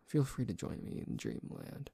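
A man playing a dorky, grumpy, possessive but secretly tender boyfriend in an ASMR roleplay, grumbling haltingly with sighs. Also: fairly low pitch, huge pitch swings, vocal fry, dark tone, breathy edge, no room sound, clean studio sound, exaggerated voice. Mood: grudging, drowsy.

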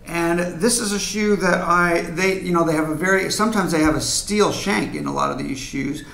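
A man in his fifties presents informally to camera, explaining things in a hands-on way. His voice sits somewhat higher than most men's. It is recorded at a desk in a clearly echoey indoor room. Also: normal speed, fairly bright tone. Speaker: video presenter. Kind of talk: casual explainer to camera, demonstrating items. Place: medium-sized home office, indoors at a desk.

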